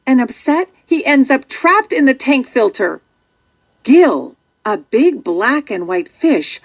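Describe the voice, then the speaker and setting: A woman narrating a scripted children's story in an expressive, dramatic way. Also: high voice, dry recording.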